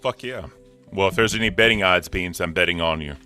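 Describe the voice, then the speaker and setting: Deep-voiced man in casual chat. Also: a little fast, sharp tone, soft background music. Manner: crude, joking, swears freely. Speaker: podcast co-host.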